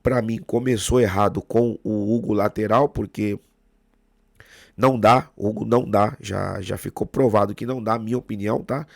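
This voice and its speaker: A Brazilian man hosting a football fan channel, hoarse with a cold and sore throat, giving his opinionated match analysis straight to the viewer. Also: on the low side, fairly even intonation, moderate pace, no echo, studio quality. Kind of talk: casual match analysis to camera.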